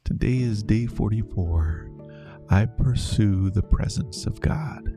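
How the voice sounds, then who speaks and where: A man's voice: on the low side, slow pace, over music. A middle-aged man hosting a devotional livestream and podcast, talking in a casual, rambling, self-deprecating way with lots of restarts.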